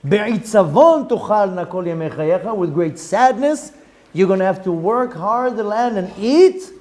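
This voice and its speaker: Man, Israeli accent, middle-aged, rabbi teaching a lesson, high-pitched, animated rhetorical questions. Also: brisk. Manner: animated, rhetorical questions, switches between Hebrew reading and English. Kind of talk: Torah lesson, reading Hebrew text and explaining in English.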